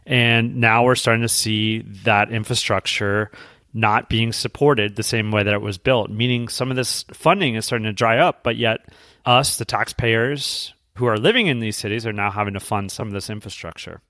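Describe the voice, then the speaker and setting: A man's voice: on the low side, normal speed, bright, forceful tone, dry recording, studio quality. A man co-hosting a podcast, explaining things in a casual conversational style. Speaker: podcast co-host.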